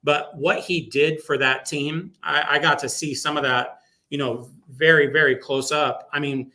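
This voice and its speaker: Bright-voiced man chatting as radio guest. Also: on the high side, fairly even intonation, measured pace. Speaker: guest, sports public address announcer. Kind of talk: casual sports radio interview.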